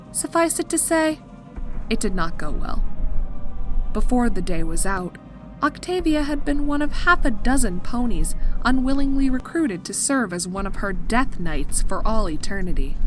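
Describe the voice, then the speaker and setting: A woman's voice: mid-range voice, low-quality recording. Dry female storyteller over light music. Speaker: narrator. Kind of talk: scripted dark comedy story narration.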